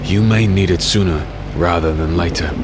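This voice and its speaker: Man acting a low, brooding fantasy warrior. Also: normal speed, background noise, close to an everyday voice. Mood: guarded, weary. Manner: brooding, terse, dramatic. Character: Tristian, grim haunted male warrior, secretive and urgent. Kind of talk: dark fantasy audio drama, first-person narration and dialogue.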